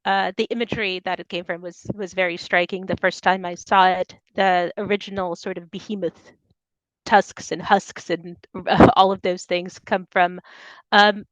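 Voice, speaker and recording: A woman's voice: fairly low pitch, little pitch movement, moderate pace, no echo, dull audio. A woman from Soviet Ukraine speaking as a poet at a reading, presenting her own work. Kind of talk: poetry reading with informal introductions.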